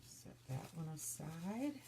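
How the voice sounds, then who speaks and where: Low-voiced woman, stuffy and hoarse. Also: sing-song, slow, very noisy. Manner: chatty, narrating each step as she works. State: stuffy and slightly hoarse from illness. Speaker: soap maker demonstrating her craft. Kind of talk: casual step-by-step demonstration.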